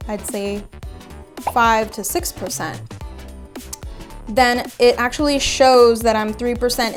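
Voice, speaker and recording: A young woman from Puerto Rico, chatting casually and thinking aloud as she reads out her test results. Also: fairly high pitch. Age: young adult. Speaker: vlogger. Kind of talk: casual reading and commentary on DNA results.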